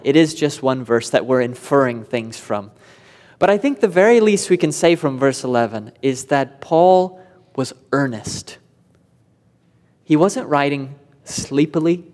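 A man's voice: on the high side, darkish tone. A man preaching an expository sermon, explaining in an earnest way and rising in emphasis on key points. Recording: long hall reverb.

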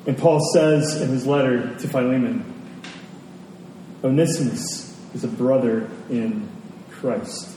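A man preaching a storytelling sermon to a congregation, deliberate, with dramatic pauses and emphasis. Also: medium pitch, fairly even intonation, mellow. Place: large indoor church space. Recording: very echoey hall, distant mic, faint noise.

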